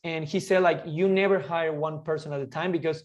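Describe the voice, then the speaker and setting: A man, talking eagerly and quickly as an interview guest, often restarting his sentences. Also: fairly high pitch, fairly even intonation, highs cut off. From Argentina. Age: 20s. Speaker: guest entrepreneur. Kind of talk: casual interview chat.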